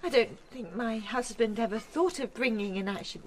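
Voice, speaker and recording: Woman acting a high-voiced, desperate wife. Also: normal speed, a little hiss or hum, lightly acted. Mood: bitter, accusing. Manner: emotional, intense. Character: desperate, wounded married woman pleading with her lover. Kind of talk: radio drama acting.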